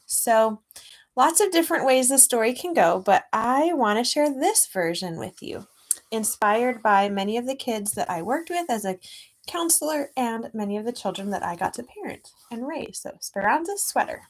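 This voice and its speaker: A middle-aged woman speaking to children as an author at a read-aloud, explaining gently in simple words. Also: mid-range voice. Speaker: children's author reading own book aloud.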